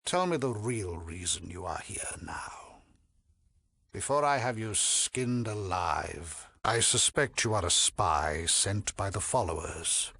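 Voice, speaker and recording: A haughty cult priest from a video game. His speech is slow and measured, swinging widely from hushed, silky murmurs to imperious peaks. He is pompous and condescending, with menace under every pious word, all in a close, dry recording. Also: low-pitched, expressive, gravelly, slightly rough, no room sound, exaggerated voice. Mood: threatening, contemptuous. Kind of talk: theatrical video game voice acting.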